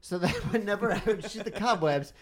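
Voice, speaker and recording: A man with a somewhat high, very lively voice banters on a comedy panel. His talk is casual and joking, with other voices crowding in behind him. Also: fairly quick, crowd noise.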